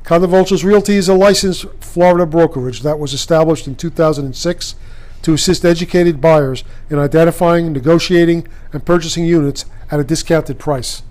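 A man reading a scripted commercial as an announcer, in a measured, formal way with clear phrasing. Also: a little high, darkish tone. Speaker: advertisement announcer.

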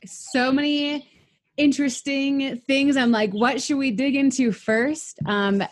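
A woman in her thirties hosting a casual interview, chatty and upbeat, firing off quick follow-up questions. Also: high-pitched, fairly even intonation. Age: 30s.

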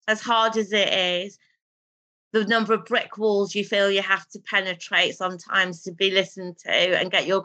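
A woman, a guest in an interview, telling stories in an animated way and laughing easily. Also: mid-range voice. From Britain, raised in a Pakistani family. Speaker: guest, television drama writer. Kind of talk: casual interview talk.

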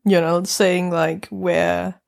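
A woman's voice: fairly low pitch, level tone, takes it slowly, creaky. A woman with a New Zealand accent in casual conversation, laughing often and talking with many fillers.

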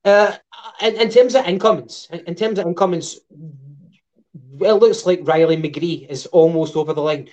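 A man with a fairly high voice, working through the news in a measured way and leaning on ums and uhs. The sound is dull and lo-fi, as if from a cheap mic. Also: fairly even intonation, darkish tone. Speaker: podcast co-host. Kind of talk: casual football chat.

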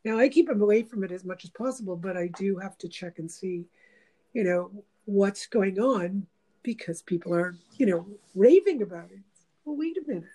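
Mellow-voiced woman musing in a casual chat. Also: medium pitch, animated, normal speed, husky, low-quality recording. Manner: meandering, reflective, with many fillers. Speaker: host.

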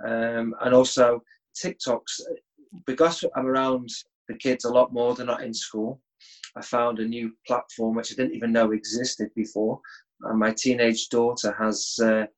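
A man's voice: mid-range voice, measured pace, poor audio. Easygoing man. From England. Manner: relaxed, easygoing with chuckles and restarts. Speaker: guest. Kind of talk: casual interview chat.

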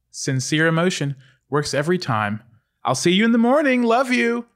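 A doting, slightly weary mom, played by a man, in a comedy script read. The voice sits fairly high and moves in animated swoops, with a wry touch. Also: moderate pace. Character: affectionate, weary mother of two children.

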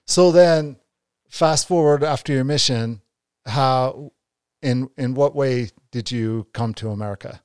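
A man's voice: mid-range voice, a little slow, darkish tone, clean tone, no echo, studio quality. A man in his forties, co-hosting a podcast and asking questions with enthusiastic restarts. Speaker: podcast co-host. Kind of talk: casual interview.

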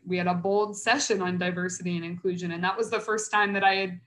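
A woman's voice: on the low side, fairly bright tone. Chatty, earnest American woman. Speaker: interview guest, nonprofit coordinator and mentor. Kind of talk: conversational interview answers.

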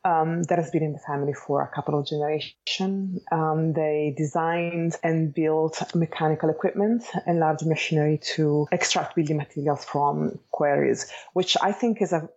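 A woman with an Italian accent and a low, mellow voice answers interview questions. She speaks in a measured, thoughtful way, articulate but pausing as she reflects. The recording is slightly dull. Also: steady tone. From Italy. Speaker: interview guest, architect and educator. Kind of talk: reflective interview talk about own life.

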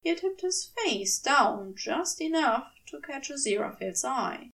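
A woman reading a fan fiction story aloud as expressive scripted narration. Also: high-pitched, measured pace, creaky, recorded from a distance. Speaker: fan fiction podfic reader.

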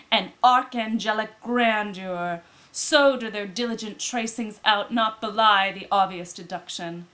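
A woman reading classic literary prose aloud as an audiobook narrator, with deliberate, dramatic phrasing. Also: a little high, a bit bright. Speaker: audiobook reader.

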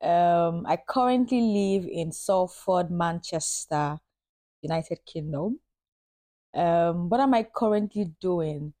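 A woman's voice: lowish voice, warm tone. Bubbly Nigerian woman as podcast guest. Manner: bubbly, laughing, many ums and pauses. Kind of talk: casual podcast chat.

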